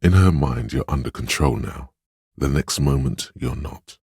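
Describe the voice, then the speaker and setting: Very deep, grave, compassionate inner voice. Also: male voice, unhurried, a little mellow, husky, no room sound, studio quality, full character voice. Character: male inner voice of empathy, grave, compassionate, perceptive. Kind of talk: dramatic game narration, second person.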